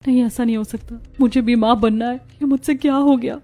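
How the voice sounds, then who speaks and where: A woman's voice: fairly high pitch, steady tone, a little fast, warm tone, close to an everyday voice. A woman voicing a proud young daughter-in-law in a melodramatic cartoon family drama. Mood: anguished.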